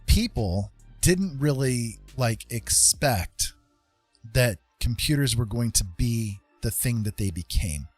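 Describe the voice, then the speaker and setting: An American man hosting a livestream, chatting casually and explaining things in an informal, halting, rambling way. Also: medium pitch, animated, slightly rough, no echo, faint music behind. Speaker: livestream host chatting with viewers.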